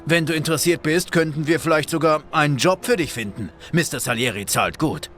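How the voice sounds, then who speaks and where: An actor playing a courteous, controlled male mobster with a businesslike, quietly menacing manner in a video game. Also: fairly high pitch, a little fast, close to an everyday voice. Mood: calm, courteous. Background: music.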